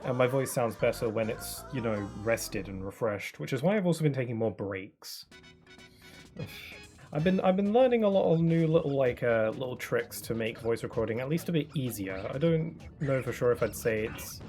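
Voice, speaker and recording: A man's voice: mid-range voice, animated, moderate pace. A young man chatting casually while streaming a video game, rambling with lots of fillers and restarts. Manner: rambling, soft-spoken, many fillers and restarts. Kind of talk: casual chat while gaming. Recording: game music playing behind.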